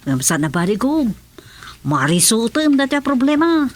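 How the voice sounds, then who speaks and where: Earnest, higher-voiced aide. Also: female voice, big pitch swings, normal speed. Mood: concerned. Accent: Ilocano. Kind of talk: Ilocano radio drama acting.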